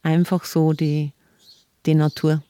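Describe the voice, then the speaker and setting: A woman, a city tour guide talking in a relaxed podcast interview, chatty and warm, often laughing and repeating words. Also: low voice, takes it slowly, dry recording.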